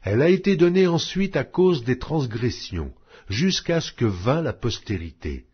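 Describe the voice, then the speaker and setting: A man reading scripture aloud in a measured, emphatic way, with strong rises and falls. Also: mid-range voice, very animated, husky, lo-fi sound. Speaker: Bible reader.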